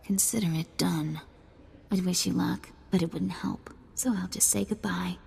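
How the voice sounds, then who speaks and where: A woman's voice: fairly low pitch, fairly even intonation, normal speed, vocal fry, mellow, slightly rough, heavily acted. An actress playing a sardonic, menacing female warrior in a video game, cool and mocking. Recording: long hall-like reverb.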